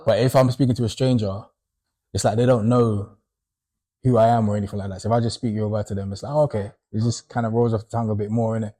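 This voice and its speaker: Man, low dark voice, earnest, reflective, casual panel chat. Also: steady tone, quick pace. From Britain. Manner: earnest, reflective, uses fillers like innit. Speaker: panel guest. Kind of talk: casual discussion.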